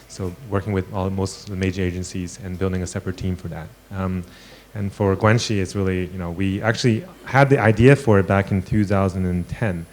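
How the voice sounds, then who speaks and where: A man's voice: low-pitched, a little mellow, a little hiss or hum. A man born in China and raised in the US, a tech founder speaking in a business interview, with frequent fillers and restarts. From born in China, grew up in the US. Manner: hesitant, many fillers and restarts.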